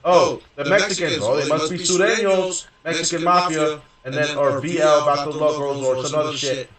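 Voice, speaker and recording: A man's voice: a little high, steady tone, moderate pace, a crowd in the background. A young man from Houston, Texas, telling a long story in rambling, profane casual talk full of fillers and restarts. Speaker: gaming streamer. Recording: very echoey room, distant from mic.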